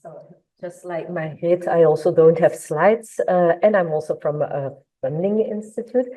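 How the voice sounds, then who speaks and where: A woman gives conference panel remarks. Her voice sits low and sounds warm and relaxed. She often restarts her phrases and ends them with a questioning "eh?". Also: unhurried, low-quality recording. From Belgium. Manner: searching, repeats words, tag "eh?". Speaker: funding agency representative.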